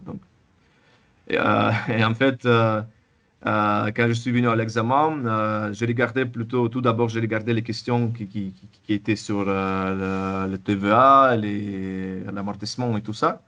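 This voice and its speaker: A young man with a Russian accent, speaking French as a second language. His low voice moves in halting bursts, full of restarts and repeated words, as he answers in a relaxed interview. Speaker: interviewee, former student. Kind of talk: casual testimonial interview.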